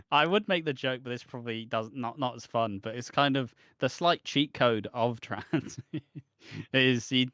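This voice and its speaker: A man's voice: mid-range voice, a bit bright, dry recording. A British man talks through a casual podcast chat in a middling voice, rambling from one opinion to the next and restarting his sentences. The recording is close and completely dry. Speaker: podcast co-host.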